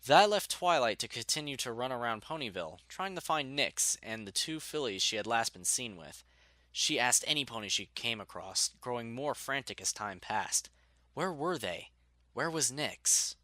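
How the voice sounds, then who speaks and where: A man narrating a scripted story in an expressive storytelling manner. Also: mid-range voice, moderate pace, no echo.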